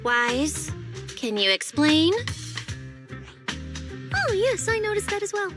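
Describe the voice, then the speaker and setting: High, animated young female sleuth. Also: takes it slowly, music in the background, heavily acted. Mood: suspicious, probing. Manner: expressive, probing, teasing. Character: inquisitive, pushy young female sidekick detective. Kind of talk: video game voice acting.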